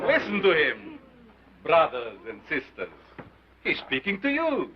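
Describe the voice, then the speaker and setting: An actor playing a grandiose sideshow scientist who declaims in a pompous, theatrical way, on a dull old film soundtrack. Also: male voice, a little high, wildly swooping pitch, a little slow, heavy background noise. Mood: solemn. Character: grandiose male carnival scientist, commanding and theatrical.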